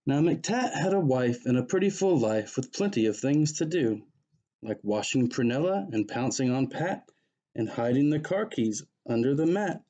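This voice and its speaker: A man with a soft, mellow voice reads a children's picture book aloud. He follows the rhyming verse as a storyteller, at an ordinary middle pitch. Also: highs cut off. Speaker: pastor reading a children's story.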